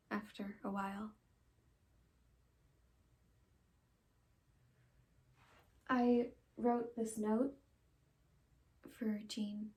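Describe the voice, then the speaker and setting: A woman acting an earnest, conflicted young woman in a halting, pleading stage monologue of confession and farewell. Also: a little high, rough recording. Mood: subdued, sorrowful. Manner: halting, pleading, with long pauses and hushed phrases.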